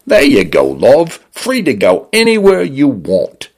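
A man voicing a talking smart home, a male voice with a British, Cockney-leaning accent, bright and friendly but bossy. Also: mid-range voice, wildly swooping pitch, a little slow, pitched above the performer's own voice, heavily acted. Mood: chiding. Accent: Cockney-leaning British, put on.

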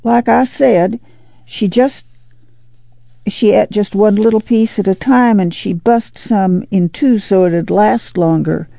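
A woman's voice: medium pitch, takes it slowly, vocal fry, mellow, no echo, highs cut off, pitched above the performer's own voice. A woman voicing an eager, anxious little farm boy in a storybook, rambling breathlessly. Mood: hesitant. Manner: breathless, rambling rural dialect.

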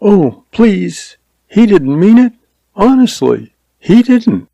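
Young frontier woman, played by a male narrator, schoolteacher, raised pitch, earnest, imploring, Western storybook. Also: animated, a little slow, creaky, mellow, no room sound, exaggerated voice. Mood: tearful pleading.

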